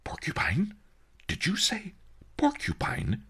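Male narrator playing a fawning gluttonous merchant, raised pitch, breathless, husky, anxious, deferential, storybook. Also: wildly swooping pitch, measured pace, heavily acted. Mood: aghast.

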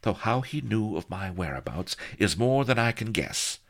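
A man's voice: lowish voice, animated, normal speed, pitched above the performer's own voice. A man voicing a haughty, melodramatic young noblewoman who scolds indignantly in mock-archaic, theatrical speech for a comic storybook. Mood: puzzled.

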